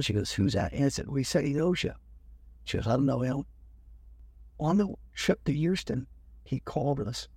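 Older male guest, husky, dry close mic, lively storyteller who acts out the other voices. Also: medium pitch, no room sound. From United States. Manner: animated, acts out other people's lines. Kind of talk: storytelling in an interview.

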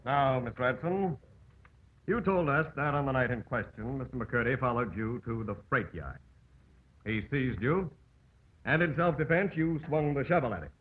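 An actor playing a sardonic, badgering prosecuting attorney with pointed, insinuating emphasis and an American accent, in theatrical radio courtroom style. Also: male voice, mid-range voice, expressive, moderate pace, slight background noise, close to an everyday voice. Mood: formal, pointed. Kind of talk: theatrical old-time radio courtroom drama. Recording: old, narrow tinny radio broadcast.

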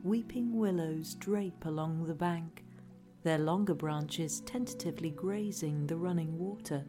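A woman reading a scripted guided relaxation in a soothing, hushed, deadpan way. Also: low-pitched, a little slow. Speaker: narrator, reader. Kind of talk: scripted guided relaxation narration, parody meditation. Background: music.

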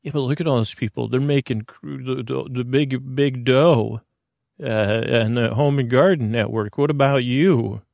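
Guy with a creaky gravelly voice, dry close sound, wandering halting sleep podcast monologue, kinda self-deprecating. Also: medium pitch, darkish tone, no echo. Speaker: podcast host.

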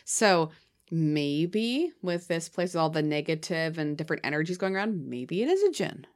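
A woman's voice: low-pitched, very animated, moderate pace. A woman with a New Hampshire accent, co-hosting a spooky podcast in casual chat, giggly and rambling and tired. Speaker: podcast co-host.

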